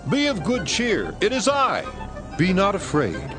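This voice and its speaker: A calm, gentle Jesus, voiced by a man with a solemn, lively-rising delivery. Soft music plays beneath the scripture reading. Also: sits high, measured pace, voice pushed up, exaggerated voice. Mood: reassuring. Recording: music bed behind voice.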